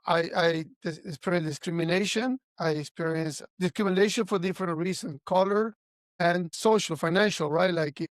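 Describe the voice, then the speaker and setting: A middle-aged man from Argentina hosting a podcast interview, asking questions in a halting way with frequent restarts. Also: high-pitched, dry recording, studio quality. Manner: halting, with many restarts and repeated words. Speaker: podcast host. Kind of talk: conversational interview.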